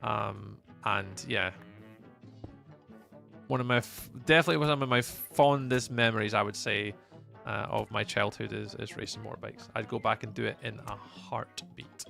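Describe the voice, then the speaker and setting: Scottish male streamer, gravelly and bright, chatty, rambling, lots of ums. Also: lowish voice, fairly even intonation, normal speed, faint music behind. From Scotland. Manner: rambling and chatty, many fillers and restarts. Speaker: live streamer. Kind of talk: casual chat with live chat while gaming.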